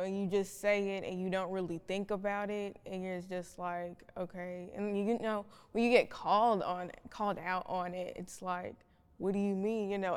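A young woman in her teens answering informally and haltingly as a student panelist. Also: medium pitch, clean tone. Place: stage in a reverberant hall. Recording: echoey hall with long reverb.

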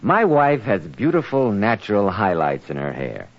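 A man working as a radio announcer and host, giving polished, promotional 1940s sponsor pitches. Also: wildly swooping pitch, moderate pace. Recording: muffled 1940s radio, music behind.

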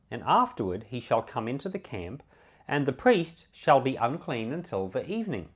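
Man with lively, expressive scripture-teaching delivery. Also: mid-range voice. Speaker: pastor reading and explaining scripture. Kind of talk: Bible reading with devotional commentary.

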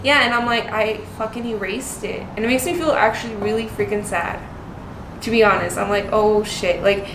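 A woman's voice: mid-range voice, steady tone, moderate pace. A young first-generation Mexican American woman hosting an interview. She talks in a casual, chatty way, giggles, swears, and fills her speech with "like". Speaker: interview host. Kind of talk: casual chat. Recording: echoey room with noticeable background noise.

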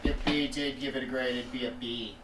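A man's voice: mid-range voice, fairly even intonation, brisk, roomy sound, distant mic, crowd noise, rough recording. A man on a podcast panel tossing casual, joking remarks into the chat. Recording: other voices clearly behind.

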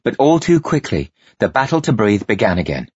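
Husky, expressive male audiobook narrator. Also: lowish voice, brisk, dry recording, dull audio. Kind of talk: dramatic scripted narration.

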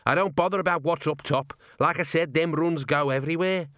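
Guy putting on a high squeaky little gnome, cheeky and rough-talking, dry sound. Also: a little fast, fairly bright tone, no room sound, natural voice. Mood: cheeky, cocky. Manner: coarse, cheeky, rough dialect speech. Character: tiny scrappy male gnome ratcatcher, cheeky.

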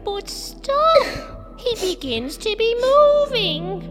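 Small excitable chicken creature, audio drama, childish broken phrasing, squeaky and animated, strong music behind. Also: female voice, slow, full character voice. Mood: concerned. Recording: loud music underneath.

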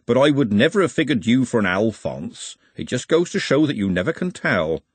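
Man playing a teasing baker, lively husky voice. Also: mid-range voice, brisk, no echo, pitched above the performer's own voice. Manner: jocular, needling, colloquial. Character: teasing, grinning male baker, mischievous and nosy.

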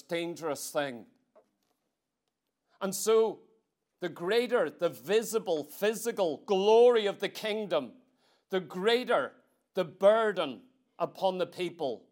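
Man, high voice, preacher, church sermon, emphatic, exhorting, repetitive phrasing, rhetorical questions.

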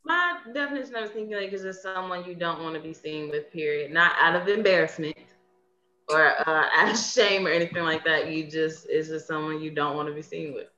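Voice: mid-range voice, brisk, sharp tone. A panel guest chatting casually, recorded with dull, echoey room sound. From grew up moving around in a military family. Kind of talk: casual chat.

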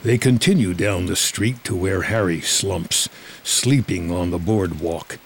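A man's voice: sits low, measured pace, a little hiss or hum. A man reading scripted screenplay narration as the narrator of a drama.

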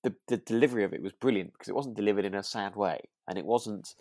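A man co-hosting a podcast in relaxed chat, hesitant and correcting himself as he thinks aloud. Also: on the low side, fast talker, no echo, clean studio sound. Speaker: podcast co-host. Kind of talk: relaxed chat reviewing a radio drama.

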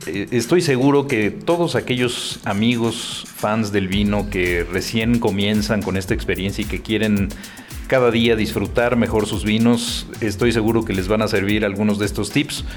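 A man's voice: fairly low pitch, moderate pace, soft background music. A man hosting an interview show, friendly and echoing his guest's words. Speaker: host.

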